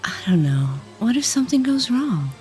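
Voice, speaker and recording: A woman's voice: on the high side, big pitch swings, normal speed, dark tone, pitched above the performer's own voice. A woman playing a worried, non-human expectant mother in a fantasy audio drama, candid and conversational with her friend. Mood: anxious. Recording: faint music behind the voice.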